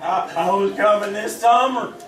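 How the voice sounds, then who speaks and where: An actor playing a querulous old backwoods feuder in a radio western, with a stammering, folksy rural Southern delivery. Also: male voice, high-pitched, normal speed, close to an everyday voice. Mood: folksy, reminiscing. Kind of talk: old-time radio western acting, live stage. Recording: distant roomy live theater stage recording.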